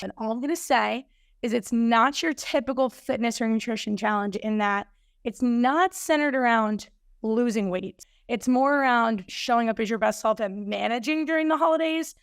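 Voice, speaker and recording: A woman hosting a fitness podcast, slightly hoarse, chatting upbeat about coming news. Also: on the high side, no room sound, studio quality. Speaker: podcast host and fitness coach. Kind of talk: casual solo podcast intro.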